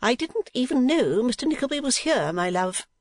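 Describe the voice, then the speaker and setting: A narrator voicing a haughty, pouting middle-aged businesswoman wife, imperious and sharp-tongued, in an audiobook. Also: mid-range voice, sing-song, no room sound, pitched above the performer's own voice. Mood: pouting reproach. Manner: imperious, brisk, put-upon.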